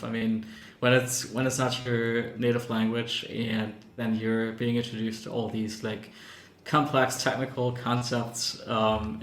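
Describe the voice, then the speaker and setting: A man who is a native German speaker, talking in a casual online discussion in a halting way, with many restarts and fillers. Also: on the low side, fairly bright tone, reverberant. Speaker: round table panelist.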